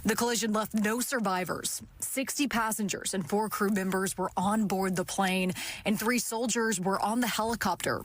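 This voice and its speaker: A woman's voice: medium pitch, fairly even intonation, normal speed. A woman with an American accent giving a live news report as a TV field reporter outdoors. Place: outdoors near an airport. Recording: clear outdoor ambient vehicle noise.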